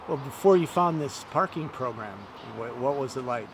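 A man's voice: mid-range voice, animated, moderate pace. A man asking short questions as an off-camera interviewer, outdoors. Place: outdoors in a parking lot, off camera. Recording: outdoor vehicle noise, off-camera voice.

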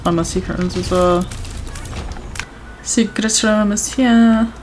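A woman chatting casually while playing a video game, her voice a little hoarse from talking too much. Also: medium pitch, fairly even intonation, slow, darkish tone, slight background noise. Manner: chatty, self-reassuring, talks to viewers. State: voice going hoarse from overuse. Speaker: gaming streamer. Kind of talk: casual gameplay commentary.

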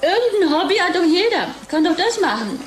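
An actress in a German radio play playing a bored, bitter, hard-drinking wife, sardonic and languid. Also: female voice, extremely high pitch, sing-song, fairly quick, soft background music. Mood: dry, amused.

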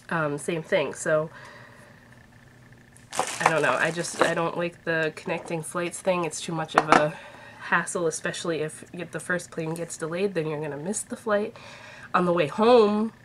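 A woman's voice: fairly low pitch, fairly even intonation, a little slow, heavy background noise. A woman in her thirties, stuffy from a head cold, chatting in a rambling, upbeat way to a webcam in her bedroom. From Massachusetts. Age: 30s. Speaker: vlogger. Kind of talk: casual chat to camera. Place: bedroom at home, indoors. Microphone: webcam at desk distance.

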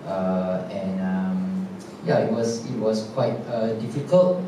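A man from a theatre company speaking on a panel in a measured, hesitant way. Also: fairly low pitch, mellow, slight background noise. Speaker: theatre company member and actor. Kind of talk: casual panel chat. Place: indoor theatre stage. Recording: echoey theatre, distant mic.

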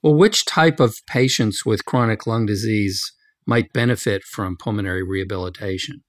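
A man's voice: fairly low pitch, normal speed, no room sound, studio quality. A man hosting a medical interview, putting prepared-sounding questions to a guest. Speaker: interviewer, medical journal editor host.

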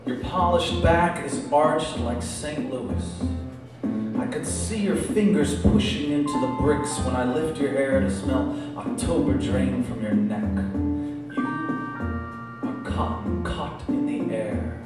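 Man, live spoken word poet, unhurried delivery, very echoey club sound. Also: medium pitch, distant mic, loud music behind the voice. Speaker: poet performing on stage. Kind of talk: live spoken word poetry reading with casual banter. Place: small music club stage, indoors. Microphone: stage microphone on a stand.

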